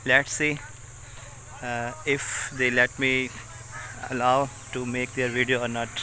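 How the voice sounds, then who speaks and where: A young man with a Pakistani accent, a native Saraiki speaker, talks in halting English full of "uh" fillers while filming himself on a phone held at arm's length as he walks outdoors through farm fields. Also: medium pitch, little pitch movement, background noise. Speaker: village vlogger. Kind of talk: casual walking vlog. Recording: faint rural outdoor ambience.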